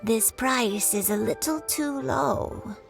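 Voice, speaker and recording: A worn-out elderly woman selling wares in a game, with a creaky, gravelly tone. She drags every word out slowly, sounding resigned to her age. Game music sits clearly behind her. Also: on the high side. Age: older. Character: elderly female market vendor, weary.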